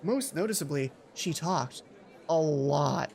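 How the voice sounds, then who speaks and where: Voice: mid-range voice, lively intonation, slow pace, darkish tone, a faint crowd. A let's play host reading a visual novel aloud theatrically, with casual, playful asides. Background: music.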